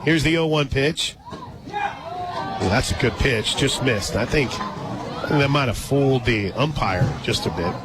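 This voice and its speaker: A man with an American accent calling softball play-by-play for radio in a conversational, excitable manner. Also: mid-range voice, measured pace, long pauses, heavy background noise. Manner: conversational, excitable on big plays. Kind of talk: live softball commentary. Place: outdoor stadium radio booth. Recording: loud crowd and music, outdoors. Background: loud crowd and music.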